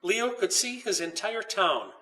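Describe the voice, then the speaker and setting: A man reading his own children's story aloud with expressive, dramatic narration. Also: high voice, a little slow, recorded from a distance, a little hiss or hum, rough recording.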